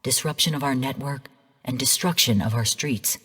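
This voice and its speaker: Give the game a stern female artificial intelligence, heard through long hall reverb. Her voice is low and a little husky. She briefs formally and analytically, in measured, composed phrases. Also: fairly bright tone, heavily acted. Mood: grave. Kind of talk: scripted game narration.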